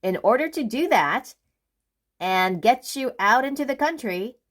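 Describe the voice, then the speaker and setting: A woman puts on a restless, bossy little busybody of a storybook man, pitched high. He is eager and hurried, with very lively swings in his exclamations. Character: brisk, bossy, restless busy male storybook character.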